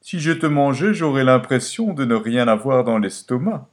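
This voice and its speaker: A man's voice: mid-range voice, moderate pace, pitched above the performer's own voice, natural voice. A man voicing a sly male fox from a fairy tale, bargaining in a wheedling, swooping manner.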